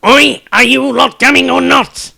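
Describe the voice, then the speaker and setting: A man's voice: extremely high pitch, fairly even intonation, a little slow, creaky, pitched above the performer's own voice, full character voice. A man reading a story aloud, voicing a smug, arrogant male halfling thief who talks sharply. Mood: snappish. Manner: sharp, snappish.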